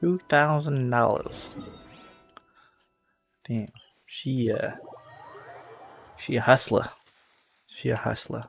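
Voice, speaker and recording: Slow-talking, gravelly male game streamer. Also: mid-range voice, a bit bright, faint music behind. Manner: offhand, slangy. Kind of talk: casual gameplay commentary.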